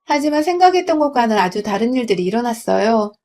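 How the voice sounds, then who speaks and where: A young woman from Gwangju narrating a first-person story in a smooth, confiding reading voice. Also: fairly high pitch, sing-song, fast talker, a little mellow. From Gwangju, South Korea.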